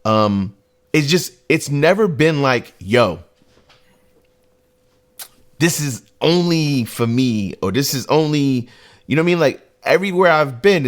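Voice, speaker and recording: Relaxed casual man. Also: medium pitch. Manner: loose, conversational, frequent "you know what I mean". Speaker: podcast co-host. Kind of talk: casual podcast discussion.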